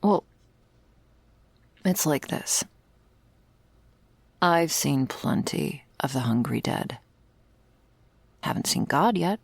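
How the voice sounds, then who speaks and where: A heavily fried, gravelly female voice, very close and dry from a woman acting out the part. She is a world-weary servant of vampires in an ASMR roleplay, explaining things with sardonic, casually profane wit. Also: deep voice, expressive, slow, long pauses, dry recording, full character voice. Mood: dry, musing. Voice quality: very creaky, gravelly. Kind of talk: ASMR roleplay, intimate scripted monologue.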